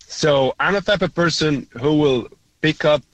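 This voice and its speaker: A man's voice: a little high, measured pace, fairly bright tone, no room sound, rough recording. Rambling Arabic-speaking male caller. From Lebanon. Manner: rambling, many fillers, laughs often. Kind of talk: casual radio call-in chat.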